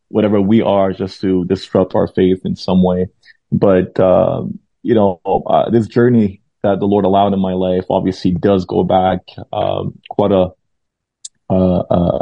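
A man in his thirties from Lebanon speaks low and gravelly, keeping his pitch fairly flat. He tells his story hesitantly, stopping often for fillers and starting his sentences over. The audio is dull and dry. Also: no room sound. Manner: hesitant, many fillers and restarts. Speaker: pastor and guest. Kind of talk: testimony interview.

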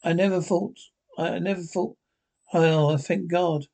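A man voicing an excitable, sentimental young space lieutenant, eager and stammering, with a husky voice. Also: high voice, unhurried, exaggerated voice. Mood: overwhelmed.

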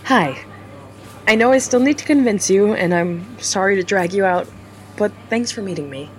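A woman's voice: medium pitch, expressive, normal speed, a little hiss or hum, lightly acted. An actress playing an earnest, hesitant young woman who works for a charity. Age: young adult.

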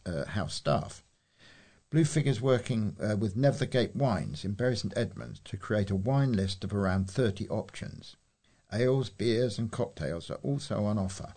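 Husky low man reading local news. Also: a little mellow. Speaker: volunteer news reader.

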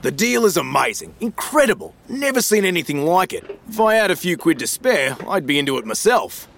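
An actor playing a slippery, matey Australian financial dealer in an audio drama, full of slangy, glib sales patter. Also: male voice, sits high, very animated, fairly quick, creaky, fairly bright tone, slight background noise, exaggerated voice. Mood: breezy, reassuring.

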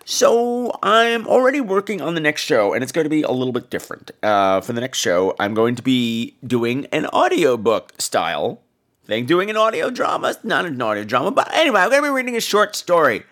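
A man's voice: fairly high pitch, big pitch swings, a little fast, fairly bright tone. A man hosting a podcast in casual chat, with a campy, theatrical and cheeky delivery. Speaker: podcast host.

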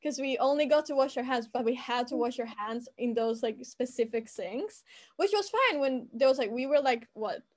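A woman with a fairly high voice tells a meandering story in a relaxed, casual chat. She strings her sentences together with constant fillers and quick restarts. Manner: rambling, filler-heavy storytelling. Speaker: student recounting school life.

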